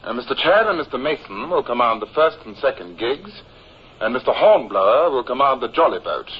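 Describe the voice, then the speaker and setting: An actor playing an orderly first lieutenant in a 1950s radio drama, with a clipped, methodical way of briefing. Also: male voice, medium pitch, very animated, fairly quick, a little hiss or hum, natural voice. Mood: brisk, businesslike. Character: brisk, orderly first lieutenant of a navy frigate. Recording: muffled old radio recording.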